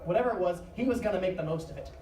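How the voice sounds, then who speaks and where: A woman's voice: fairly low pitch, lively intonation, very fast. A woman narrator reading a scripted dramatic story live. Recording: echoey live stage, distant noisy mic.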